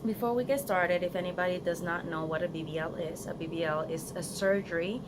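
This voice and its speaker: A woman's voice: fairly low pitch, a little fast. Woman with a Spanish accent, outdoors on a phone. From Florida, United States. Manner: chatty, earnest and advising, with frequent ums and restarts. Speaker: vlogger. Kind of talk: casual unedited vlog. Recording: phone recording outdoors with clear background noise.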